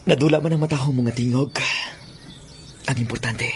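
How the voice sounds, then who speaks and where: A man's voice: medium pitch, steady tone, brisk, a little mellow, a little hiss or hum, close to an everyday voice. A man with a Filipino Hiligaynon accent playing a lovestruck, anxious young man and devoted son in a radio drama, stammering with emotion. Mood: tender, happy.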